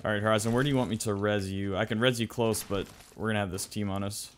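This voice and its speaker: A man's voice: on the low side, steady tone, fast talker. A man giving casual, rambling gameplay commentary as host of a gaming video. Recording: faint game noise behind voice.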